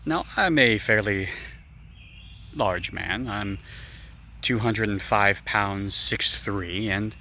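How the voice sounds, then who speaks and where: An American man with a lowish voice that has a bright, forceful edge. He addresses his viewers directly and speaks plainly, in measured phrases. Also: a little hiss or hum. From American Bible Belt.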